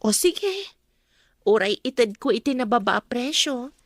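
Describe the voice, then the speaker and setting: A woman's voice: on the high side, big pitch swings, a little slow, no room sound, clean studio sound. A woman playing a meek, mistreated young woman in a radio drama, plaintive and obedient, with an Ilocano Filipino accent. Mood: gentle, weary.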